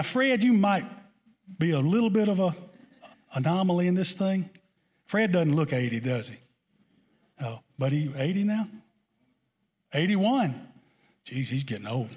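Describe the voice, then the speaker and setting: High-voiced man preaching a conversational sermon. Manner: conversational, repeats points, puts rhetorical questions to listeners. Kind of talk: sermon to a congregation.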